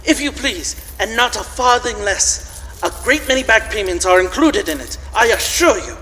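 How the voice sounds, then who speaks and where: An old reformed miser in a live radio play, giddy and stammering. The pitch is very high and swings freely, with a bright, forceful edge. It rings through an echoey church hall. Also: male voice, normal speed, exaggerated voice. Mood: giddy, eager. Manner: excitable, stammering, emphatic. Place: large church, live performance.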